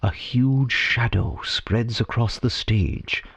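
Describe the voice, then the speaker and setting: An actor playing a solemn radio drama narrator, reading scripted narration in a measured, portentous manner. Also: male voice, mid-range voice, gravelly, fairly bright tone, husky, no room sound, exaggerated voice. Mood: ominous, suspenseful.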